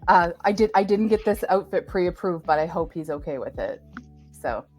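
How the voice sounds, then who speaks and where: Low-voiced chatty woman hosting. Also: lively intonation, a little mellow, faint music behind. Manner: chatty, jokey, haltingly phrased. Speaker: live stream interview host. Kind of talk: casual unscripted chat.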